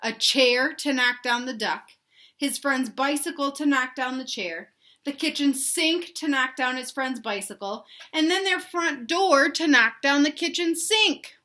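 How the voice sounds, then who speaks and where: A woman reading a children's storybook aloud in an animated, emphatic way, pausing to put questions to young listeners. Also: high voice. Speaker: read-aloud host for children.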